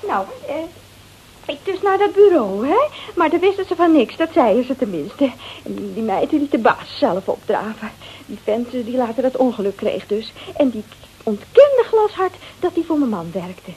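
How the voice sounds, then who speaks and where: Casting: a brash, rattled wife in a radio play, high-pitched and very swoopy, hesitant and coarse-tongued. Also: female voice, big pitch swings, moderate pace, warm tone, slight background noise, poor audio. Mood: flustered, defensive. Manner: hesitant, colloquial, peppered with little tags. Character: brash, rattled married woman confessing under threat, coarse-tongued. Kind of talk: Dutch radio play dialogue.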